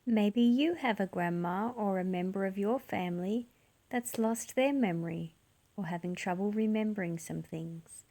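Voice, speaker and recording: A woman reading a children's storybook aloud in an expressive, deliberate storyteller's manner. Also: medium pitch. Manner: slow, deliberate, animated delivery for young listeners.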